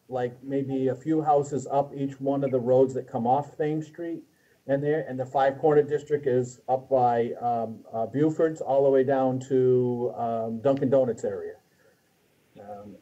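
Halting man, dark muffled voice. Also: medium pitch, fairly even intonation. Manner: halting, trailing off. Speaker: city planning official. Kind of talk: explaining zoning at a meeting. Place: small office with hard ceiling, indoors. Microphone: video call. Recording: dull lo-fi video call audio.

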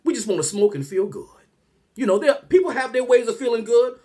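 A man in his thirties doing a comedic rant to camera, animated and exasperated, firing rhetorical questions from a small room at home. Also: very high-pitched, brisk.

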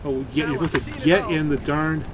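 Higher-pitched man commentating over loud game noise. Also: steady tone, fairly quick. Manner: rambling, thinking aloud while playing. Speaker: gaming video host. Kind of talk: casual gameplay commentary. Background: video game gunfire and vehicle sounds.

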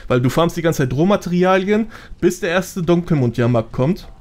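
A man's voice: a little high, expressive, moderate pace, a little mellow. A man chatting casually as a podcast guest, explaining things in slangy speech sprinkled with English gaming terms. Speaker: podcast guest, gold-making gamer.

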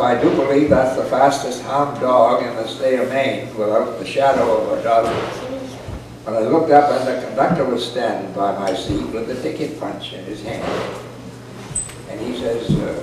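An elderly man in his eighties or older reads a folksy, humorous story aloud in a dry, rustic manner. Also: medium pitch. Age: 80s or older. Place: indoor parlor with a small audience. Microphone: camera microphone at a distance. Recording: echoey, noisy room recording from far off.

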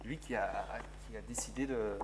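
Interviewer hésitant dans un théâtre vide. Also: male voice, medium pitch, moderate pace, background noise. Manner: hesitant, many fillers, playful. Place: empty theatre auditorium. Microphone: lapel.

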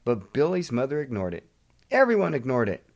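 A man's voice: medium pitch, huge pitch swings, a little fast. A man hosting a devotional, reading aloud and praying. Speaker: devotional host.

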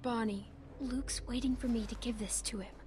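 A woman voicing a determined, guarded young girl survivor in a video game, terse and steady. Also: a little high, moderate pace, natural voice. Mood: quiet, pleading. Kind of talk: naturalistic video game acting. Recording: game audio, faint background noise.

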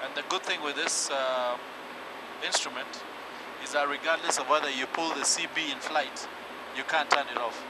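A man's voice: on the high side, fairly even intonation, moderate pace. A man, an airline pilot, patiently explaining cockpit instruments in flight. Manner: technical, patient, with fillers. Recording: loud engine and cockpit noise.

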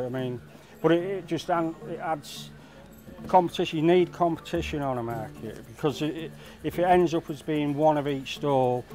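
A middle-aged man, a market stallholder talking casually and haltingly in an interview. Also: mid-range voice, darkish tone, rough recording. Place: busy indoor market stall. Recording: music behind interview.

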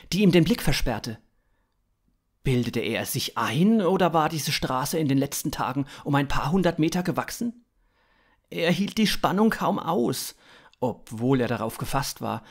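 A man in his thirties reading scripted science fiction narration for a story podcast. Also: fairly high pitch. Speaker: author-narrator.

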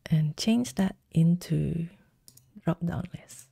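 Slow, mellow woman explaining software. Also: low voice, vocal fry, breathy edge, no room sound, clean studio sound. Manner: calm step-by-step instructions, slow deliberate pacing. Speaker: software tutorial presenter. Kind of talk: screen-recorded software tutorial.

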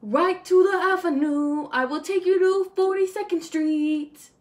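A woman's voice: very high voice, unhurried, voice pushed up. A woman voicing a hopeful young male pig who dreams of the stage, earnest and theatrical, in a storybook reading. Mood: gusto.

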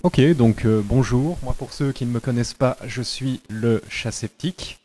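A man on a festival panel introducing himself as a video creator, halting and stumbling over words. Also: medium pitch, dark tone. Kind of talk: casual self-introduction.

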